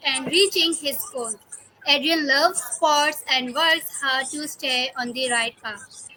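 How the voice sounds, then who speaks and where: A woman hosting a casual remote interview, chatting in halting, non-native English. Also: high voice, pushed and bright, a little hiss or hum, low-quality recording. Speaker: interviewer and podcast host.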